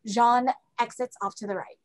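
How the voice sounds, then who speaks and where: A woman's voice: fairly high pitch, steady tone, normal speed. A woman reading out stage directions as scripted narration for a play. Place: indoors at home, on a group video call.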